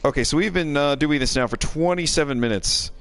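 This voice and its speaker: A man's voice: on the high side, fairly quick, a bit bright. A man giving casual, sardonic live gaming commentary, given to exasperated asides. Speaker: game streamer.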